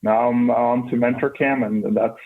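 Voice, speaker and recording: A man's voice: mid-range voice, fairly even intonation, normal speed. Norwegian-accented man on a phone line. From Norway. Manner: earnest, with frequent restarts and fillers. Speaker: startup founder guest. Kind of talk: radio interview answers. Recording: band-limited phone line.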